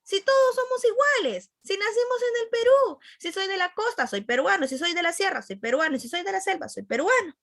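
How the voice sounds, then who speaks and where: A woman's voice: very high voice, expressive, a little fast, few pauses, a bit bright, dry recording, clean studio sound. A woman with a Peruvian accent teaching a class conversationally, repeating key points and checking in with her listeners. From Peru.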